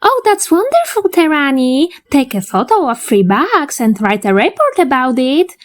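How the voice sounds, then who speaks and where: A woman plays a gushing, sweet girlish character in a comic dub. Her voice is high, with a coaxing tone. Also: big pitch swings, normal speed. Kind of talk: fandub acting.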